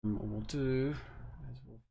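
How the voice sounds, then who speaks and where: A man giving a casual screen-share software tutorial, thinking aloud in short, offhand remarks as he goes. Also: medium pitch, normal speed, vocal fry, phone-quality sound. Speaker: tutorial presenter. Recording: noisy room with keyboard typing.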